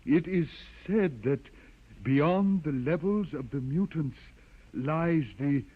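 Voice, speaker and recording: A man's voice: on the high side, slow, breathy edge. An actor playing a pompous, menacing old holy man aboard a starship, speaking in solemn liturgical declamation in a vintage science-fiction radio drama. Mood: grave teaching. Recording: muffled old radio recording, music behind.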